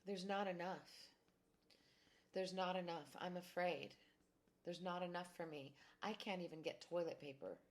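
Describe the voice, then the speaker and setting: A woman speaks slowly and calmly with a slightly low voice, guiding listeners through a tapping exercise. She gives gentle instructions and phrases to repeat after her. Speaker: tapping practitioner.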